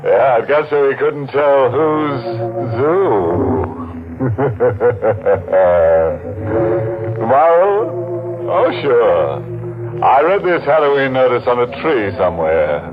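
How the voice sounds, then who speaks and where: A man's voice: medium pitch, very animated, over music. An actor playing a sly, chuckling male horror host in a 1940s radio drama. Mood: gloating. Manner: slow, gloating, punctuated by chuckles. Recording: tinny old radio broadcast.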